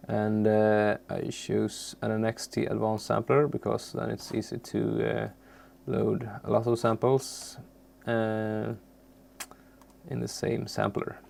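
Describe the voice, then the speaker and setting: A man with a low, slow-paced voice walks through software as a non-native English speaker. He speaks haltingly and searches for his words in an unscripted tutorial, with faint noise behind him. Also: completely flat delivery, vocal fry. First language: not English. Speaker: tutorial presenter.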